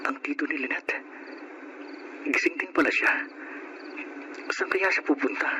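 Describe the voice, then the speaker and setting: A man's voice: fairly high pitch, a little slow, sharp tone, a little hiss or hum. An actor playing an adventurous, self-assured young man, curious about everything, in a radio drama. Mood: hushed, curious. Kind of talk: radio drama acting with hushed narration.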